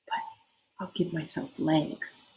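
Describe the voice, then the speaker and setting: A young mermaid princess from a fairy tale, read by a woman, speaks gently and earnestly, with polite phrasing. The sound is roomy and echoey. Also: slow pace, lightly acted. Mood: wistful. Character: gentle, lovely young mermaid princess, the Sea King's daughter.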